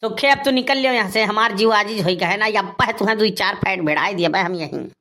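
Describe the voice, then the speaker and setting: A man's voice: sits high, fairly quick, pushed and bright, a little hiss or hum. A man playing an aggrieved, boastful village husband in a cartoon comedy, grumbling and scolding with a rustic eastern Uttar Pradesh accent. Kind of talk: Awadhi cartoon comedy dialogue.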